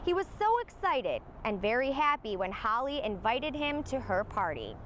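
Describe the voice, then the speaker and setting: A woman reading a children's storybook aloud in an expressive storytelling manner. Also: on the high side, normal speed, slight background noise. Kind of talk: scripted storybook narration for young children.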